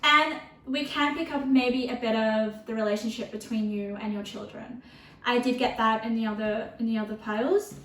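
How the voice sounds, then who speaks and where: A woman giving a casual tarot reading, chatty and rambling with lots of fillers, her voice turning a little croaky. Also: fairly high pitch, steady tone, moderate pace, fairly bright tone, reverberant, recorded from a distance, rough recording. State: voice getting croaky from thirst. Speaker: tarot reader.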